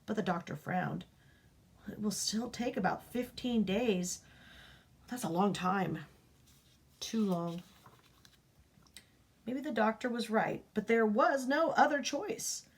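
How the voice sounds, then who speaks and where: A woman reading a children's picture book aloud, expressive, rising up and dropping to a hush for the dramatic parts. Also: medium pitch, takes it slowly, long pauses, husky. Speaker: storybook reader.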